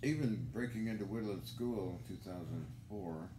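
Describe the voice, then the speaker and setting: A man's voice: lowish voice, moderate pace. An older man telling rambling personal anecdotes to a phone camera in a small room, with chuckling asides. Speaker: vlogger. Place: small indoor room, seated in armchair. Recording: echoey room with background noise.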